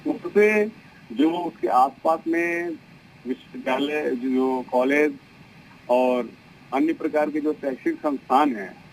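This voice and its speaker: A man's voice: fairly high pitch, big pitch swings, takes it slowly, creaky, slight background noise, low-quality recording. A man answering formally as a government minister in a live news interview.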